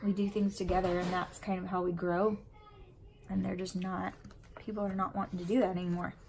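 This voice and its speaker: A woman's voice: fairly low pitch, level tone, a little fast, a little mellow, a little hiss or hum, low-quality recording. A sniffly woman with a runny nose, chatting in a rambling way while she decorates her planner at home. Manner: rambling and chatty, full of fillers. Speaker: planner vlogger and mom.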